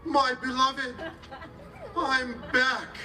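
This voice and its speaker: A man acting a pompous, lovelorn Elizabethan movie hero, declaiming melodramatically with long pauses in a radio play. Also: very high voice, flat delivery, bright, forceful tone, heavily acted. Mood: lovesick. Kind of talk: hammy period-film melodrama in radio play. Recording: stage radio play, distant mic, music behind.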